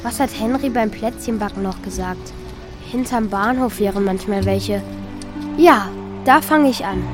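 A woman's voice: medium pitch, measured pace, music in the background, lightly acted. A woman playing Mika, an earnest, lonely, kind-hearted young child in a German children's radio play. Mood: worried.

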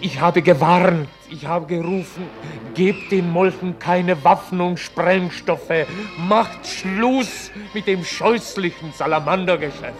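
An actor playing a weary, resigned author and chronicler in a radio play, solemn and oratorical, with exasperation. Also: male voice, sits high, slow pace, hardly any pauses, soft background music. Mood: exasperated, defensive. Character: weary, resigned author-chronicler defending himself against his conscience. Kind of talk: radio play acting, narration turning to argument.

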